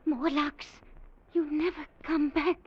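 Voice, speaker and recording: An actress playing a frightened, childlike young woman of a gentle future people, speaking in halting, broken phrases for a radio drama. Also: sits high, level tone, over a noisy crowd. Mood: pleading. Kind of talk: 1950s radio drama acting. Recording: dull old radio recording, loud background sounds.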